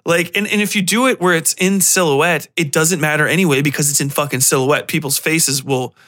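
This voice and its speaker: A man with a slightly high, forceful voice talks as a podcast host. He delivers casual film commentary as an animated, opinionated rant. His language is slangy and full of swearing. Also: brisk. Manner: profane, slangy.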